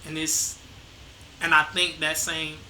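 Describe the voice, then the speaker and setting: A young man talks in a laid-back, hesitant way, often circling back to restart his thoughts. His voice sits a little high and sounds very bright. An echoey room and a faint hiss surround him. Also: monotone, takes it slowly. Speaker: guest, the host's son. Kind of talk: casual conversation.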